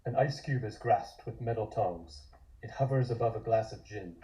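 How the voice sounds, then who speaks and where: Mellow man narrating a script. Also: on the low side, normal speed, low-quality recording. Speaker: narrator reading scene descriptions at a table read.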